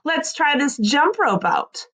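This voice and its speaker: A tiny, sassy new girl at school voiced by a woman reader in a children's storybook. She is playful and cheerful, chanting in a high singsong. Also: big pitch swings, takes it slowly, fairly bright tone, exaggerated voice.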